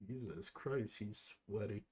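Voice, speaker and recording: A man's voice: lowish voice, a little slow, crowd noise. A man streaming, commenting sarcastically over a video and mockingly repeating what he hears.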